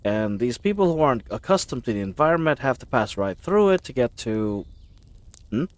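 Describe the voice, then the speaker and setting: A man's voice: medium pitch, very animated, normal speed, no echo, close to an everyday voice. A man playing a friendly, bumbling knight in a fantasy audio roleplay, chatty and rambling, with awkward, flustered hesitations. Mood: friendly, reassuring. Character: friendly bumbling babbling young-hearted male knight, eager and awkward.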